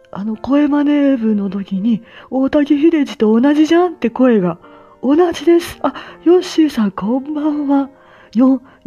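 A woman's voice: sits high, mellow, dull audio, voice pushed up. A woman voicing a sleepy, easygoing old grandpa who rambles chattily in a livestream. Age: older.

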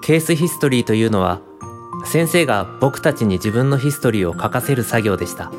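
A man's voice: mid-range voice, lively intonation, fairly quick, music in the background, natural voice. An actor playing a modest, anxious young male student in a radio drama, polite, hesitant and eager to please. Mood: sincere, reflective. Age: young adult. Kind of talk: radio drama acting and reminiscing narration.

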